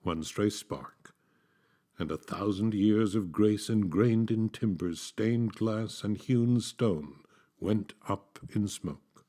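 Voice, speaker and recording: A man with a low voice recites verse very slowly. His delivery is solemn and dramatic, each phrase measured out like a formal reading. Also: warm tone. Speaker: actor reading poetry.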